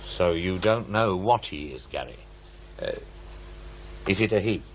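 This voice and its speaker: Skeptical researcher, dry questioning manner, varied intonation, naturalistic radio drama, slight background noise. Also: male voice, sits low, animated, measured pace, poor audio. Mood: patient, probing. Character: skeptical, dry, busy male paranormal researcher and author.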